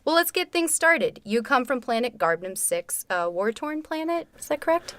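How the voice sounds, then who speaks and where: A woman's voice: high voice, sing-song, normal speed, a bit bright, dry recording. Woman playing a polished, probing space talk-show host. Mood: polished, wry. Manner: formal, pointed, wryly insinuating. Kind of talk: comic sci-fi radio theatre interview.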